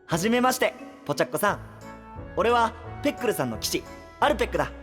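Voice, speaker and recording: A cheerful young male knight in an anime voice drama. His speech is casual and open, with pitch that moves around freely. Light music sits behind him. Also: very animated, fast. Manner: frank, casual, exuberant. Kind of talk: Japanese anime voice drama acting.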